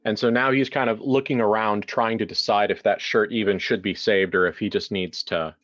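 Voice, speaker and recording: A man's voice: lowish voice, fairly even intonation, normal speed, a bit bright, highs cut off. A man improvising narration for a tabletop roleplaying game in a casual way, thinking aloud as he goes. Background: music.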